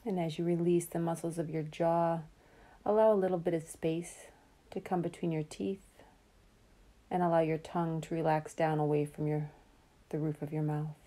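A woman leads a guided relaxation in a low, very soft-edged and mellow voice. Her delivery is calm and soothing, with a very even, level melody. She takes her time and leaves room between phrases. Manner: calm, soothing, unhurried with long pauses. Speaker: meditation guide.

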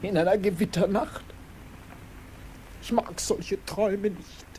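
A woman's voice: medium pitch, fairly even intonation, slow pace, dark tone, lightly acted. An actress playing a stern, scornful businesswoman aunt in a 1950s radio drama, dry and sardonic. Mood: icy, sardonic. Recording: noisy old 1950s radio recording.

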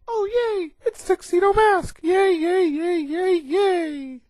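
A woman voicing a ditzy, whiny, excitable teenage schoolgirl heroine in an anime parody dub. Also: extremely high pitch, slow pace, no room sound. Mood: giddy. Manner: whiny, childish, melodramatic.

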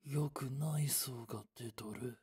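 Very husky, slow old man. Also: mid-range voice, darkish tone. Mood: ominous, admonishing. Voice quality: husky, raspy. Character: mysterious old homeless man, former fortune-teller. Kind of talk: audio drama acting.

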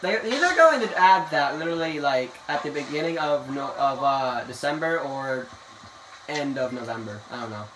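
A man's voice: medium pitch, lively intonation, normal speed. A young man hosting a gaming video, chatting casually and rambling, playfully bossy about the plan. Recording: echoey room, mic far, noisy.

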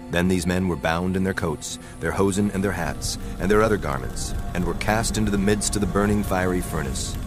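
A man narrating scripture from a script in a solemn, measured manner. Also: deep voice. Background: music.

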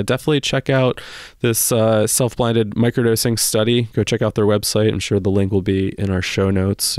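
A man's voice: lowish voice, darkish tone, clear, resonant tone, no echo, studio quality. A man hosting a podcast, introducing the episode and guests in a casual, upbeat way with many fillers. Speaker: podcast host.

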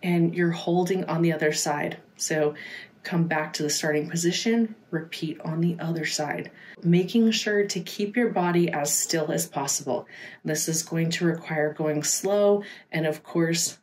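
Lower-pitched woman coaching a workout. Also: a little slow. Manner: casual, explanatory coaching. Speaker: fitness instructor. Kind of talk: instructional workout voice-over.

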